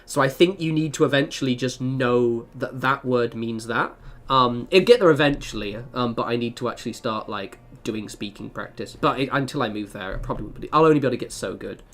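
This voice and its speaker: A young man with a mid-pitched voice talks to his livestream audience. He is casual and chatty, rambling through stories and breaking off mid-thought to start again. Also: brisk. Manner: rambling, animated storytelling with self-interruptions. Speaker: game livestreamer. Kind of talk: casual livestream chat over gameplay.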